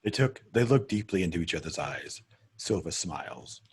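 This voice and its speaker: A man narrating screen directions at a script table read in a measured, descriptive way. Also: deep voice, big pitch swings, breathy edge.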